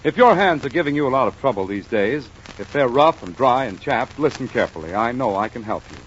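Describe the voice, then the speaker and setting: A man's voice: medium pitch, animated, fairly quick. A man reading a 1940s radio advertisement as a commercial announcer, persuasive and carefully enunciated. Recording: muffled 1940s radio recording, faint noise.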